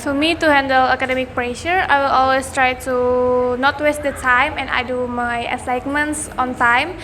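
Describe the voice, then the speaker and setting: A young woman in her late teens, a nursing student guest, answering in a composed, steady way. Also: high-pitched, moderate pace, slight background noise. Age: young adult.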